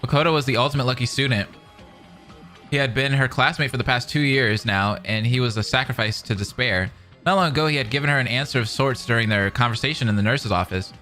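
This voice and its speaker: A man reading narration dramatically and adding excited commentary as a reaction streamer. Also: mid-range voice, quick pace. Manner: animated, dramatic. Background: faint music.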